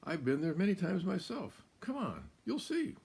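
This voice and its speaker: A man voicing an excitable little male fox in a storybook, coaxing with eager emphasis. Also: high voice, normal speed, vocal fry, slightly rough, voice pushed up, full character voice.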